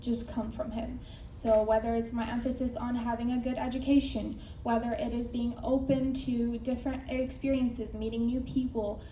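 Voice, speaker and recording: A woman's voice: fairly high pitch, mellow, a little hiss or hum. A young woman giving an earnest, reflective tribute speech at a memorial gathering. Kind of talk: prepared personal tribute speech. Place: large hall at an evening gathering. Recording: echoey hall from far off.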